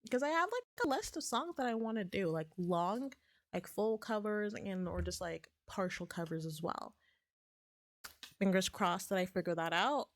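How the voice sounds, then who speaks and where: A woman streamer in casual, rambling chat, her voice a bit raspy as if she is getting sick, with lots of fillers and restarts. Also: mid-range voice, lively intonation, normal speed, no echo. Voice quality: slightly raspy.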